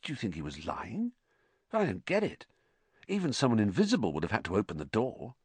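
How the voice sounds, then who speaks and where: A weary storybook schoolboy, acted by a man, blunt and grumbling. He talks quietly with varied pitch, recorded close and dry. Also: wildly swooping pitch, a little fast, slightly rough, no echo, exaggerated voice. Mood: puzzled. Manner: groaning, puzzled, blunt. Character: tired, grumbling, loyal schoolboy friend. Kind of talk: audiobook storybook character acting.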